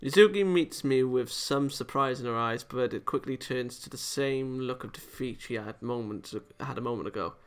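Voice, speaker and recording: A man's voice: medium pitch, normal speed, few pauses, clean tone. A man hosting a visual novel let's play, reading aloud and breaking into rambling, emphatic, opinionated commentary. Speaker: gaming video host.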